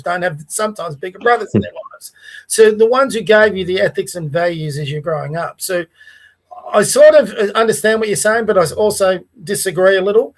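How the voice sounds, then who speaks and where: Earnest Australian man, high voice. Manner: earnest, restarts and asides like "right?". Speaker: podcast host. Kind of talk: casual political discussion.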